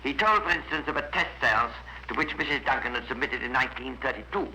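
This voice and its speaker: A man's voice: medium pitch, expressive, fast talker, pushed and bright. An actor playing a composed, wry male narrator of a scripted 1950s radio crime drama. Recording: noisy vintage 1950s radio recording.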